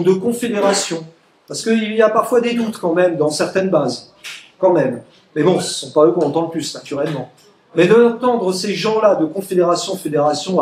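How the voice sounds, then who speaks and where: A man's voice: sits high, a little mellow, slight background noise. A man of Egyptian origin giving an emphatic, sarcastic political speech at a public meeting, mocking his opponents. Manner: emphatic, sarcastic, quotes opponents in mock voices. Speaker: political speaker. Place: meeting room. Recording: echoey room.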